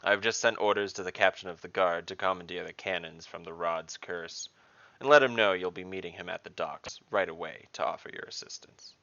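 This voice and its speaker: Male town mayor, read by a male narrator: pragmatic, authoritative, forceful, fantasy audio drama. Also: sits low, fairly quick, no echo, muffled recording. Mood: decisive, grave. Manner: formal, commanding.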